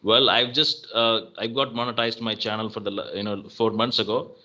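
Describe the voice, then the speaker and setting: A man with an Indian English accent talks in a loose, easygoing way, filling pauses and laughing lightly. His voice is bright and forward. The call audio sounds dull and lo-fi. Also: fairly low pitch. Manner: relaxed, chatty, many fillers and laughs. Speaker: channel host. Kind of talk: casual call conversation.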